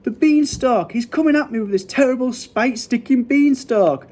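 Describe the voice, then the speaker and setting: A man voicing a huge man-eating giant from a storybook, loud and shrieking, wailing pleas in broken grammar. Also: very high voice, normal speed, pitched above the performer's own voice. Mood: desperate begging. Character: huge man-eating male giant, panicking, screaming and begging.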